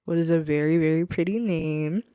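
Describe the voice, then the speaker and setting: A woman's voice: low-pitched, moderate pace, mellow, clear, resonant tone. An American woman hosting her podcast, chatting casually and upbeat with a guest. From United States. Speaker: podcast host. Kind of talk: casual interview chat.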